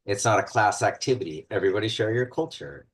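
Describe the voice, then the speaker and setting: A man's voice: fairly low pitch, animated, quick pace, husky. A man who teaches at a university, speaking conversationally in a panel discussion, thoughtful and full of fillers. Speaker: university instructor.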